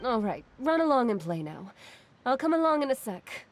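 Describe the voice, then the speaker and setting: A woman voicing a kind, gentle woman who talks softly to her pet dinosaur. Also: on the high side, very animated, heavy background noise. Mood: tender. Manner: soft, slow and motherly. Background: game music.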